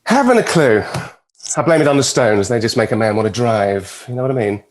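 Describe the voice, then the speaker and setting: Man playing a suave devil, swooping pitch. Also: lively intonation, fairly quick, close to an everyday voice. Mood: playful. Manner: teasing, theatrical, silky. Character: suave, mischievous devil, a retired Lord of Hell. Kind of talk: table read performance.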